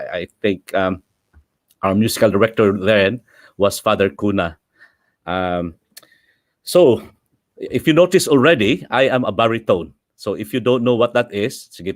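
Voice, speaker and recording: A middle-aged man with a Filipino accent hosts his own livestream in an easy, chatty way, laughing often and slipping between English and Filipino. His voice sits on the low side. The sound is dry and close, with no room echo. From Philippines. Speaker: host. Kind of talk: casual livestream talk.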